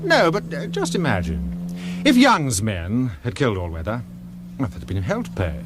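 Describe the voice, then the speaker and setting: An actor playing a pragmatic, self-assured intelligence officer in a radio thriller, brisk and matter-of-fact, with a British accent. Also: male voice, mid-range voice, wildly swooping pitch. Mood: admiring, matter-of-fact. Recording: radio drama with clear background noise.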